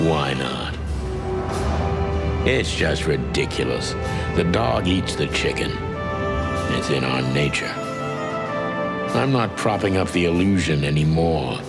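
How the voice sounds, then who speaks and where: An actor playing a weary, cynical aging rooster detective in a noir game, gravelly and deadpan. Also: male voice, extremely low pitch, heavily acted. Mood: bitter, resigned. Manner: world-weary, deadpan, hardboiled. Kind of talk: noir first-person narration. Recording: studio voice under loud music.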